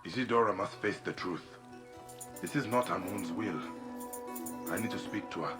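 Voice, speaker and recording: A man's voice: low-pitched, moderate pace, lightly acted. An actor playing a grim, determined man investigating an ancient curse in a video game, with brooding intensity. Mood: brooding, focused. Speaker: game protagonist. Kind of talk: dramatic game acting. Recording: loud music behind the voice. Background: loud music.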